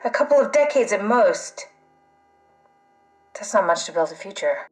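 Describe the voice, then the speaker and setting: A woman's voice: mid-range voice, expressive, normal speed, lightly acted, rough recording. An actress playing a wry, pragmatic woman scientist in a video game, with a matter-of-fact manner. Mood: worried.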